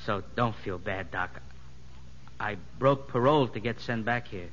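Forlorn, earnest convict, sharp voice. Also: male voice, measured pace, long reverb tail. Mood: earnest, resigned. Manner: hesitant, earnest, plain-spoken. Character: meek, forlorn convict who loves prison life. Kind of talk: 1950s radio drama acting. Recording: boomy, muffled, noisy old radio broadcast.

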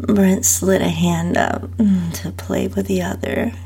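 A woman with a breathy, whispery, husky voice narrates a first-person story in an intimate, sultry, hushed manner. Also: lowish voice, steady tone. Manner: slow, hushed, sultry delivery. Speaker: host and narrator of own story podcast.

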